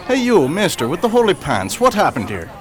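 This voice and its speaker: A man's voice: sits high, huge pitch swings, a little fast, noisy background. An actor playing a brash, bossy 1920s city policeman barking commands in a radio drama. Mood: gruff, urgent.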